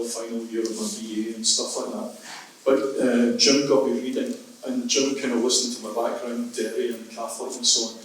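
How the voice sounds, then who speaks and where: A man's voice: medium pitch, fairly bright tone, a little hiss or hum. A man in his fifties with a Scottish accent, a writer talking thoughtfully and anecdotally at a literary event. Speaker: writer on a panel. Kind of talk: reflective conversation at a literary event. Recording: echoey room, mic far off.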